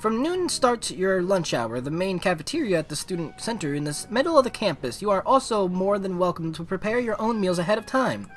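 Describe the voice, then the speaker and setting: A formal, poised student council president girl, acted by a man in a visual novel. The speech is measured and earnest. The voice sits high, with faint music behind it. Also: sing-song.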